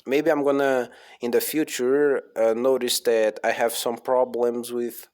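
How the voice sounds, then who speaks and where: A man's voice: mid-range voice, level tone, measured pace, a little mellow. A man with a Portuguese accent, an English learner reading aloud and commenting casually, hesitant and repeating words to practice them.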